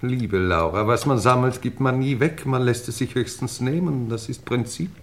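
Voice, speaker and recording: A man playing a mild, unruffled older aristocrat in a radio play, speaking with deliberate, pedantic courtesy. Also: mid-range voice, close to an everyday voice. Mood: calm, pensive. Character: mild, unruffled aristocratic English lord and collector. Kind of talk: old German radio play acting.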